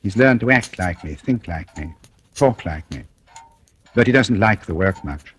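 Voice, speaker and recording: A noisy vintage radio thriller recording carries a low, suave voice. He is a cunning gentleman who speaks in measured, ironically polite phrases, mocking and coolly menacing throughout. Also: lively intonation. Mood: sardonic, composed. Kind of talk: 1940s radio thriller acting.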